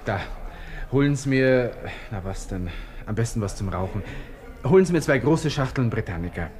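An actor playing a proud, brooding young army lieutenant with a Viennese accent, muttering to himself in a colloquial, abrupt way in a radio drama. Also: male voice, medium pitch, lively intonation, normal speed, darkish tone, close to an everyday voice. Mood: commanding, casual. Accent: Viennese Austrian. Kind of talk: 1960s German radio drama inner monologue.

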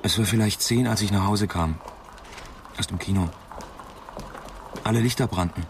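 Ein Schauspieler spielt in einem deutschen Krimihörspiel einen bedrückten jungen Medizinstudenten, der stockend und gedämpft berichtet. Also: male voice, on the low side, a little hiss or hum, lightly acted. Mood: subdued, guilty. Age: young adult. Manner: halting, subdued, confessional. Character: troubled young male medical student, devoted to his flatmate. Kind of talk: German radio crime drama acting.

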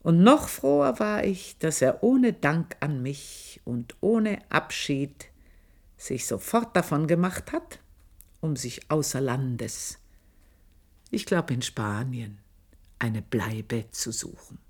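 A woman's voice: low-pitched, expressive, slow, a little mellow, full character voice. An older actress playing an aging housemaid who tells her story in a radio play, confiding and scornful. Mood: bitter. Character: old female housemaid, bitter and proud.

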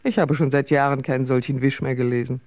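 A woman's voice: a bass voice, steady tone, quick pace, heavy background noise. A woman playing a chatty, self-mocking ageing stage actress in a radio drama, wry and theatrical in manner. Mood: breezy, self-mocking. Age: older.

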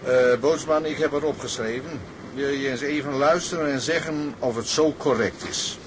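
An actor playing a formal, kindly older sea captain in a radio drama, with a pompous, declamatory way of speaking. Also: male voice, mid-range voice, normal speed, noisy background, lightly acted. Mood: brisk. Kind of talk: Dutch radio drama acting.

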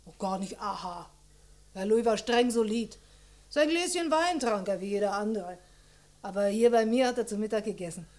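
A woman's voice: mid-range voice, moderate pace, darkish tone, lightly acted. A woman acting a talkative, fond witness in a radio crime drama, conversational and emphatic. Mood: chatty, emphatic. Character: chatty, fond female witness questioned by police.

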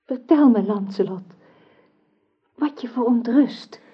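An actress playing a lovesick, yearning young noblewoman with a breathy, hushed voice in a radio drama. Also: a little high, takes it slowly. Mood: tender, yearning. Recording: dull lo-fi old radio recording.

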